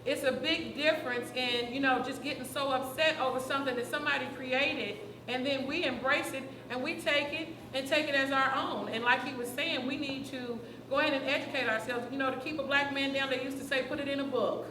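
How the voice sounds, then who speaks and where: A woman's voice: sits high, fairly even intonation, brisk, few pauses, roomy sound, crowd noise, low-quality recording. A woman who is a teacher, speaking earnestly and at length in a group discussion, with fillers as she explains. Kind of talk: open discussion at a community event.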